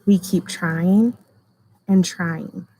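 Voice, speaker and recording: A woman's voice: lowish voice, steady tone, drawn-out words, mellow, low-quality recording. A woman speaking to children as a teacher during a storybook read-aloud. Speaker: teacher reading aloud to students.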